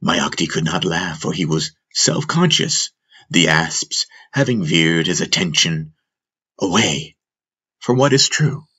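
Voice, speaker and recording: A man narrating a fantasy audiobook as a dramatic storyteller, solemn and deliberate. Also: sits low, big pitch swings, sharp tone, husky.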